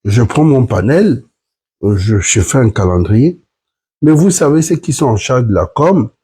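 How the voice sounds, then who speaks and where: A man's voice: medium pitch, animated, a little slow, warm tone, breathy edge. A man, sick with the flu, earnestly explaining point by point to viewers in a live stream. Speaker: platform member hosting a live stream.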